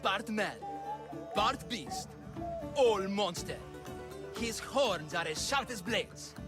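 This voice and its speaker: A man's voice: high voice, lively intonation, takes it slowly. An actor playing a flamboyant, scheming ancient Greek showman in a video game, grandiose and prone to flustered stammering, with music behind him. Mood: boastful pitching. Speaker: carnival-style promoter. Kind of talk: theatrical video game acting.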